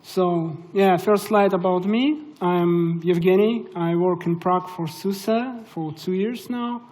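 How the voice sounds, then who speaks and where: A man's voice: sits high, takes it slowly, darkish tone. A young man from Russia with a Russian accent, giving a casual, hesitant conference tech talk through a stage microphone. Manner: casual, hesitant, many fillers. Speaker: conference speaker. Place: conference hall.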